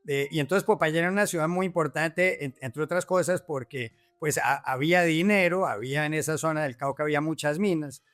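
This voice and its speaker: Brief: male journalist guest, higher voice, explanatory with fillers. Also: brisk, hardly any pauses, a bit bright, no room sound. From Colombia. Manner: explanatory, with fillers and repeated words. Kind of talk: casual podcast interview.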